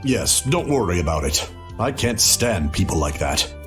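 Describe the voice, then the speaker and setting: A man's voice: low voice, very animated, normal speed, a bit bright, soft background music. A man playing an obliging, frank innkeeper in a video game, polite and plain-spoken. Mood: helpful, frank. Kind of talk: English video game dub.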